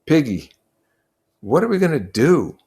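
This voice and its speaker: A man's voice: a little high, big pitch swings, a little slow, warm tone, pitched above the performer's own voice. A man voicing a worried, earnest boy leader in a storybook, pleading and urgent.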